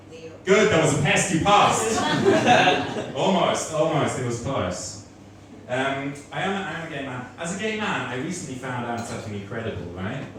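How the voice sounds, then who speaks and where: A man with a German accent works a comedy crowd, his pitch leaping up and down between dry asides. The sound is very roomy and picked up from some distance. Audience noise hums clearly behind him. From Switzerland. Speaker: stand-up comedian. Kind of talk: stand-up comedy set. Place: comedy club stage before a laughing audience.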